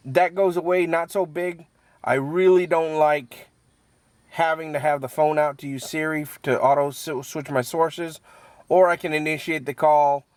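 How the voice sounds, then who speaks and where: A man giving a casual, unscripted product review, halting as he thinks aloud and repeats words, in a matter-of-fact, mildly critical way. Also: fairly high pitch, dry recording. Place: inside a truck cab.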